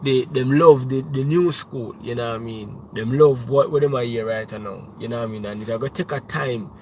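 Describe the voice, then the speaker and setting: A man's voice: mid-range voice, a little fast, a little mellow, a little hiss or hum. A young Jamaican man, a music producer, talking casually on a livestream, rambling with many restarts and "you know what I mean.".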